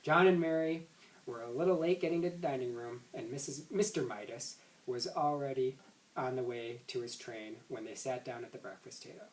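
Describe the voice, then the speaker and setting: A man's voice: medium pitch, moderate pace. Man reading a storybook in an echoey room. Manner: stumbles and rereads words. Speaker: school administrator reading aloud to children. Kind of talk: expressive children's storybook read-aloud. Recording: roomy echo, distant microphone.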